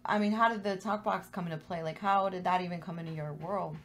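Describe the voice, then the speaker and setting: A woman's voice: fairly low pitch, steady tone, fast, a bit bright. A woman with an American accent hosting a podcast, asking curious questions in a casual, slangy and informal way. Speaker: podcast host interviewing. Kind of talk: casual chat.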